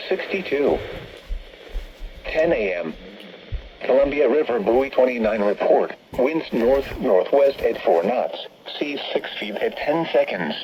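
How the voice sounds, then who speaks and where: A synthetic male voice with an American accent reads a scripted weather forecast in stilted, robotic phrasing as an automated radio announcer. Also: medium pitch. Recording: noisy, dull weather radio broadcast. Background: steady radio background noise.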